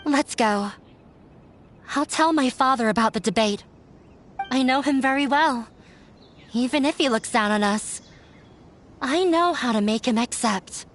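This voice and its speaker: A woman's voice: high-pitched, measured pace, pauses often, slight background noise. An actress playing a young woman in a video game who ran away from home, speaking earnestly and with resolve. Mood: determined. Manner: earnest, growing determined. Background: game music.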